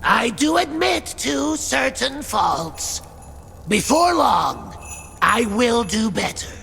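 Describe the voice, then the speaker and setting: Creaky, high-pitched mad rhyming executioner. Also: male voice, sing-song, slow, pushed and bright, soft background music, full character voice. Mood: taunting. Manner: theatrical, sing-song rhyming, gleefully menacing. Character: mad rhyming male executioner in a cursed merry mask. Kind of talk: video game villain acting.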